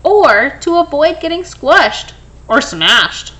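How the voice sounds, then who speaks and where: Slow, high-voiced woman reading to children. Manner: expressive, explaining to young children. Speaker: storybook reader. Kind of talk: children's picture book read-aloud with brief explanatory asides.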